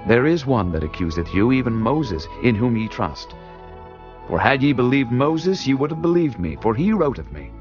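Guy doing jesus, solemn and measured, lively pitch, dull lo-fi sound with music under. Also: close to an everyday voice. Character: Jesus, calm authoritative male teacher. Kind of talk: dramatized scripture reading.

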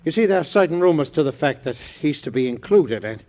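An actor playing a deferential male political delegate who speaks hesitantly with halting pauses, in an old-time radio drama. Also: fairly high pitch, expressive. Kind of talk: 1940s radio acting. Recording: dull old radio recording.

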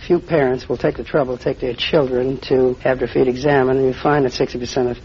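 A man's voice: medium pitch, fairly even intonation, fast. A man, a quiz contestant, giving terse, plain-spoken answers in an interview. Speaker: quiz show contestant, chiropodist. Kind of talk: brief unscripted interview answers. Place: broadcast stage before a live audience. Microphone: stage radio microphone. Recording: muffled old radio recording with hiss.

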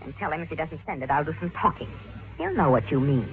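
A woman's voice: sits low, animated, fast, loud music behind the voice. An actress playing a scheming, anxious woman blackmailer in a theatrical 1940s radio drama style. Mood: cool, demanding. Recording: scratchy, tinny old radio recording.

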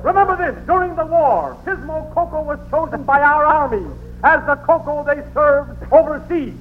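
Very high-pitched female vintage radio announcer. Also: normal speed, faint music behind. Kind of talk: old-time radio parody sponsor pitch. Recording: noisy, narrow-band vintage radio recording.

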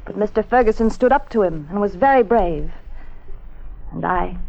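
A woman's voice: medium pitch, lively intonation, moderate pace, gravelly, darkish tone, slight background noise. An actress playing an aggrieved, self-justifying woman telling her story plaintively in a classic radio drama. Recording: muffled old radio recording.

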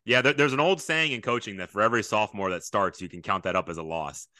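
A man's voice: on the low side, quick pace, pushed and bright, dry recording, studio quality. A man in his late thirties hosting a casual interview, chatting in a reminiscing way and building long, winding questions. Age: late 30s. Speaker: podcast host, football coach.

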